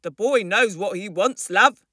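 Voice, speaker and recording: A woman voicing an easygoing yet excitable father dinosaur, chummy and informal, in a children's storybook. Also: mid-range voice, unhurried, dry recording, studio quality. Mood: chummy, soothing.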